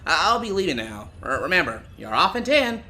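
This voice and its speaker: A man's voice: fairly high pitch, very animated, fairly quick, background noise. A man playing an awkward, well-meaning boss in an audio drama, hesitant and stammering a little. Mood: awkward, polite. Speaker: voice actor.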